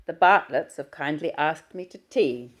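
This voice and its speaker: A woman playing a hearty, booming father. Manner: jovial, brisk and booming. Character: hearty middle-aged father, cheerful and bluff.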